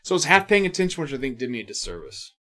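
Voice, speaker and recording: A man in his thirties co-hosting a casual gaming podcast, swearing freely and jumping between asides. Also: medium pitch. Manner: animated, profane, quick asides and impressions. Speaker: podcast co-host. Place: indoor studio set.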